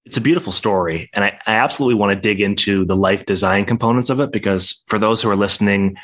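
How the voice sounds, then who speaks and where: A man's voice: on the low side, quick pace, a bit bright. A man hosting a podcast, reading a scripted introduction and then chatting with his guest. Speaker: podcast host. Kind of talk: podcast interview.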